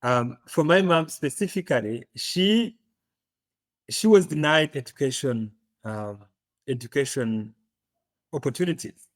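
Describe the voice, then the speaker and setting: A man from Uganda with an East African accent, telling his story as an interview guest, often pausing to search for words. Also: mid-range voice, expressive, dry recording. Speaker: podcast guest.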